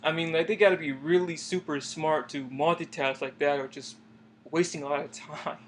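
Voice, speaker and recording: A man's voice: fairly high pitch, steady tone, normal speed. A young man chatting casually about an anime, hesitant and trailing off at times. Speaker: co-host. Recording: faint background noise.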